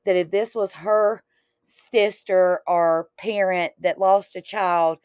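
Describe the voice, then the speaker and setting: A woman's voice: lowish voice, steady tone, takes it slowly, darkish tone, smooth, no room sound, clean studio sound. A woman talking casually and giving her opinions in a panel discussion.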